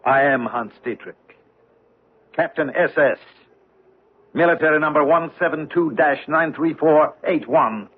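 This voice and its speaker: Very raspy cornered American-sounding man. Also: a little high, measured pace. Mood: cold, unrepentant. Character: cornered man, a former German officer living as American. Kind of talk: old-time radio drama acting. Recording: muffled vintage radio recording.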